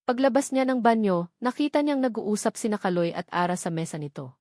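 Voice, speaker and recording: A woman's voice: mid-range voice, brisk, a little mellow, no echo, clean studio sound. A woman with a Filipino accent reading a scripted dramatic story as an audiobook narrator.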